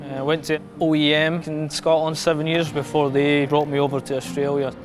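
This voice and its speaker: Matter-of-fact man, slightly high voice. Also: little pitch movement, normal speed. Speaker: bus mechanic. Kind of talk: interview soundbite for a promo video. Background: background music.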